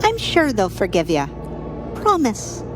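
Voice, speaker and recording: A man voicing a small young girl in a storybook tale, with a soft squeak and a folksy, blunt, childlike manner. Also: wildly swooping pitch, measured pace, over music, exaggerated voice. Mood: gentle reassurance.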